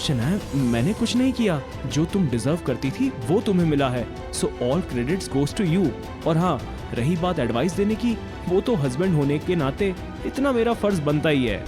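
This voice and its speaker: An actor playing a humble, protective young husband in an audio drama, speaking with modest reassurance. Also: male voice, on the high side, sing-song, moderate pace. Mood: humble, tender. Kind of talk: Hindi audio drama acting. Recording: music behind the voice.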